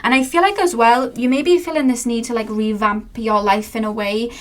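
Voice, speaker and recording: A woman's voice: on the high side, fairly even intonation, brisk. Rambling young woman tarot reader. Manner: meandering, filler-heavy, searching for words. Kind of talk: channeled tarot reading.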